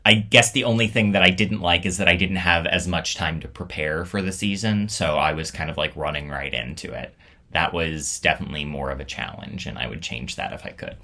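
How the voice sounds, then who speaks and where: Low, bright-voiced man chatting. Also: fairly quick, creaky. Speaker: storytelling show host. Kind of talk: casual reflective vlog talk.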